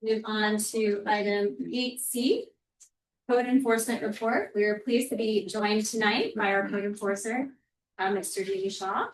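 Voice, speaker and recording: A woman serving as council president, chairing a borough meeting in a polite, measured, formal way. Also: medium pitch, fairly even intonation, a little hiss or hum. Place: municipal council chamber. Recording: echoey chamber audio from far off.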